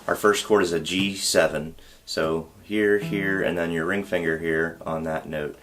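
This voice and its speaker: A man teaching a song on guitar, explaining step by step in a patient, relaxed way and counting beats aloud. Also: low-pitched, unhurried. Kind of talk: guitar tutorial. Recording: loud guitar under the voice. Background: acoustic guitar playing.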